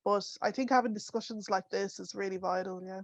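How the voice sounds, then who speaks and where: A woman's voice: medium pitch, steady tone, brisk, no echo. A young woman speaking as a panelist in an online discussion, in a chatty way with many "you know"s. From Ireland. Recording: dull, dry home audio.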